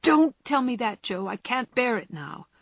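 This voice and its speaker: A woman's voice: medium pitch, animated, normal speed, no room sound, studio quality, close to an everyday voice. A woman voicing a heartbroken young suitor. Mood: fierce. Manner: pleading, impassioned.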